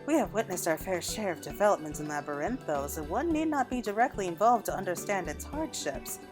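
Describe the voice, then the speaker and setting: A woman voicing a composed, courteous, scholarly young game character with formal, measured phrasing. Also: lowish voice, animated, over music, close to an everyday voice. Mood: admiring.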